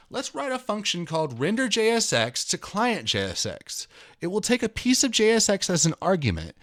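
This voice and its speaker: A man in his thirties reading a written programming tutorial aloud, with an emphatic, somewhat dramatic delivery. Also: on the high side. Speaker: tutorial host. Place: indoor room at a computer.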